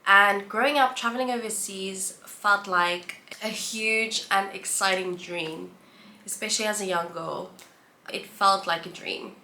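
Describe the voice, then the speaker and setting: Bright-voiced South African woman vlogging. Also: mid-range voice, slight background noise. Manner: chatty, reflective, with listing pauses. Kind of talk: casual sit-down chat to camera. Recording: roomy echo, faint background ambience.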